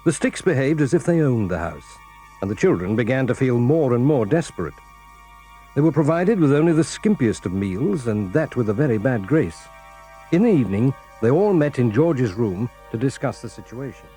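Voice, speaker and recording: A man narrating a children's story in a measured, scripted storytelling manner. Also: medium pitch, sing-song, warm tone, faint music behind.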